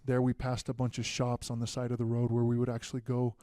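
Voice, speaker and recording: A young man telling stories in a relaxed podcast chat, with many fillers as a guest. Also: medium pitch, quick pace, dry recording, studio quality. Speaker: guest, future pastor. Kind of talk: casual podcast conversation.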